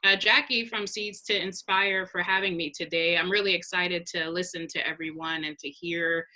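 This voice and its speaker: Bright-voiced earnest woman speaker. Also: fairly low pitch, steady tone, normal speed, rough recording. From United States. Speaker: guest speaker, health practitioner. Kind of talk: online panel talk.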